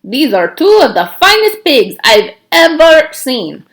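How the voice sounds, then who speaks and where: A woman's voice: high voice, sing-song, measured pace, fairly bright tone, pitched above the performer's own voice, heavily acted. A woman voicing a blustering, jovial father with emphatic exclamations in a storybook read aloud. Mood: hearty. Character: blustering, jovial father haggling over his daughter.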